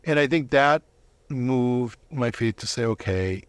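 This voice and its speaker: A middle-aged man giving a conversational personal testimony as an interview guest, reflective and halting, often rephrasing himself. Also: medium pitch, animated, clear, resonant tone, dry recording.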